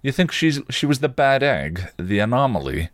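A man's voice: mid-range voice, very animated, normal speed, dry recording, studio quality, pitched above the performer's own voice, lightly acted. A man voicing a cocky male vampire, taunting and insistent, in a story reading. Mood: goading. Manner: provocative, insistent, goading.

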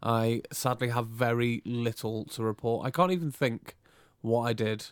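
A man's voice: mid-range voice, fairly even intonation, normal speed, clean tone, no room sound, clean studio sound. A man co-hosting a podcast, rambling in an easygoing casual chat. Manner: rambling, easygoing, restarts his thoughts. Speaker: podcast co-host.

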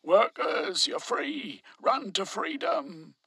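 A man voicing a fiery male revolutionary leader who rallies workers with rousing shouts. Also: very high voice, big pitch swings, takes it slowly, creaky, breathy edge, no echo, studio quality, voice pushed up, full character voice.

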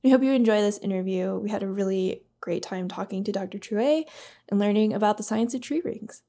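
Podcast host, woman, mid-pitched voice, friendly reading of the intro. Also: a little fast, mellow.

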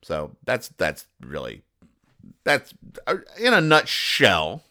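A man hosting a podcast, explaining jokes in a mock-serious, deadpan and over-explaining way. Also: deep voice, takes it slowly. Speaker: podcast host.

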